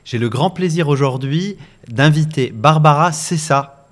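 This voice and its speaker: A man hosting a podcast interview, asking questions haltingly with many fillers and giving short acknowledgements while his guest talks. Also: fairly high pitch. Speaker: host.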